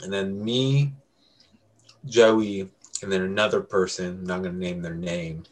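A man's voice: sits low, fairly even intonation, measured pace. A young adult man telling a story casually to friends, rambling and correcting himself as he goes. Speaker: podcast co-host telling a story.

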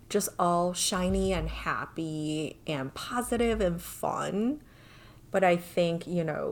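Woman podcast co-host, lowish voice, unhurried, chatty and reflective, filler-heavy. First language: not Korean. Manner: chatty, thoughtful, many fillers. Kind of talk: casual chat.

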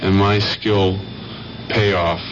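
Deep, flat-toned man in radio testimonial. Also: slow. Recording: dull old radio clip, noisy background.

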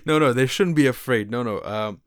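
A woman's voice: a bass voice, a little fast, dry recording, studio quality. A woman hosting an interview, encouraging her guest and asking follow-up questions. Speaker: podcast host. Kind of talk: casual interview conversation.

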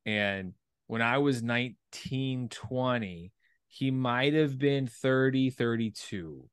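Male, thirties, mid-pitched, reflective and halting casual talker with salty language, dry recording. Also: clean tone, no room sound. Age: 30s. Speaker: writer and performer, military veteran. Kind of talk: casual creative discussion.

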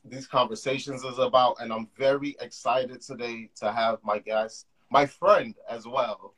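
Young male podcast host, husky tone, eager and loose delivery. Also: mid-range voice, steady tone, measured pace, fairly bright tone, rough recording. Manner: enthusiastic, talks in loose run-on phrases. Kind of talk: casual conversation. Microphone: call.

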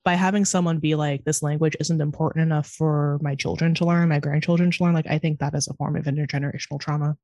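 Brief: Californian woman, twenties, rapid casual talk full of "um" and "like", dry close mic. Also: sits low, steady tone, warm tone, no room sound. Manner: fast-talking, chatty, many fillers. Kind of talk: casual conversation.

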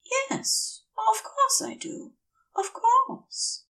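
A woman voicing a gentle, fussily polite male angel who rambles affectionately in a dazed, feverish way in an audio story. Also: extremely high pitch, drawn-out words, distant mic, pitched above the performer's own voice, full character voice. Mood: grateful, tender.

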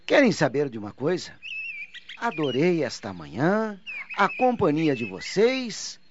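A man's voice: a little high, wildly swooping pitch, measured pace. An actor playing a good-natured, bashful young student in a radio drama, eager in his delivery. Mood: eager, smitten. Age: young adult. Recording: dull lo-fi, faint noise.

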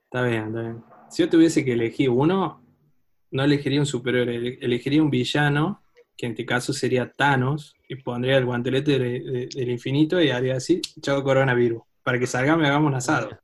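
A man's voice: mid-range voice, steady tone. Joking man hosting a chat. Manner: joking, brisk moderator. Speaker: host. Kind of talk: casual podcast chat.

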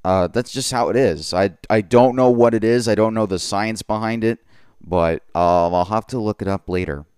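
Low, smooth-voiced man hosting a podcast. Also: normal speed, a little mellow, dry recording, studio quality. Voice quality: voice lower than usual today. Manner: rambling, self-correcting, earnest. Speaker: podcast host. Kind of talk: solo podcast monologue.

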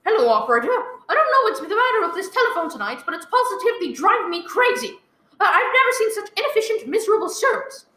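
A woman playing a nervous, demanding bedridden wife in an old-time radio thriller, agitated and stammering with shrill complaints. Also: big pitch swings, fast talker, pushed and bright, heavily acted. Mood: indignant. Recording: roomy, echoing live recording.

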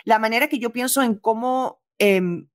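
Woman, Venezuelan accent, comedian, casual chat, lively, mixes in English, somewhat high voice. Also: moderate pace. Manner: lively, mixes English phrases into Spanish, laughs often. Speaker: stand-up comedian, guest.

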